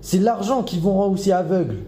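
A man plays a furious male intruder, high-pitched and slow, hurling accusations. The voice is dark and mellow and rings with room echo. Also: a little hiss or hum, close to an everyday voice. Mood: accusing. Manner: shouting, accusing, slow and heavy.

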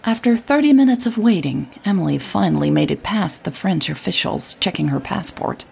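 A woman with a husky, breathy voice narrating a scripted audio drama story. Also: on the low side, lively intonation, normal speed, darkish tone.

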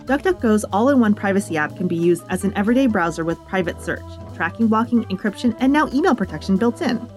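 A woman's voice: mid-range voice, lively intonation, fast talker, hardly any pauses, darkish tone. A woman announcer delivering a scripted promotional read. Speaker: announcer and presenter. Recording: music behind the voice.

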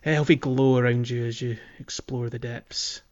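A man's voice: mid-range voice, steady tone, normal speed, darkish tone. A man hosting a podcast in a casual, hedging, conversational way about video games. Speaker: podcast host. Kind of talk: casual video game discussion. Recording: dull lo-fi microphone.

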